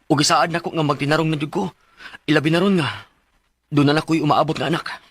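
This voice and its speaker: An actor with a Cebuano Filipino accent playing an emotional, lovesick man in his thirties in a radio drama, with a husky voice. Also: on the high side, fast talker, a bit bright, no room sound. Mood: earnest. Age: 30s. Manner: emotional, pleading, swooping delivery. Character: Manuel, lovesick pleading man of thirty-two, repentant cheater. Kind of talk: Philippine radio drama acting.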